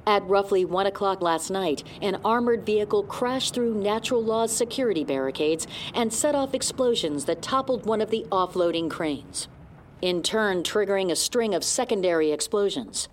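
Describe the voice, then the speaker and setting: A woman's voice: mid-range voice, expressive, a little hiss or hum, natural voice. Composed female news reporter played by an actress. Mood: serious, formal. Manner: formal, measured. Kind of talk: scripted newsreading in audio drama.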